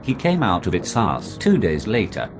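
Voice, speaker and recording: A man delivering an absurd scripted monologue in a deadpan manner. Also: on the low side, moderate pace, music in the background. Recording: noisy recording.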